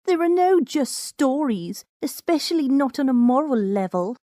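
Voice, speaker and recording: A woman's voice: high voice, big pitch swings, normal speed, warm tone, dry recording, clean studio sound, voice pushed up. A woman voicing a clever, pompous, moralizing mare in a storybook reading. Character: know-it-all stocky bespectacled mare, clever and moralizing.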